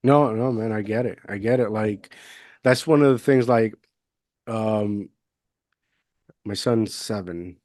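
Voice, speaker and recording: Thirties guy from puerto rico hosting his podcast, lowish voice, dry close sound, lots of likes and restarts. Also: slow, pauses often, darkish tone, dry recording. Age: 30s. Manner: rambling, lots of fillers and restarts. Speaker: podcast host. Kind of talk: casual conversational interview. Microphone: budget USB mic, video call.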